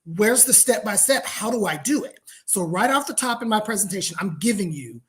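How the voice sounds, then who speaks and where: A man's voice: high-pitched, expressive, fairly quick, a bit bright. Friendly American man presenting with many ums. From United States. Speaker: guest business founder. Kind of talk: webinar presentation.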